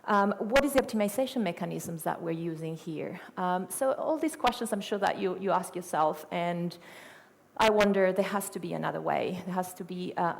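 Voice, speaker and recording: A woman's voice: fairly low pitch, creaky. Argentine-accented woman speaking in a large hall. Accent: Argentine Spanish. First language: Spanish. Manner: filler-heavy, self-correcting, asks rhetorical questions. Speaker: conference speaker. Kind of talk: conference presentation. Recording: reverberant hall.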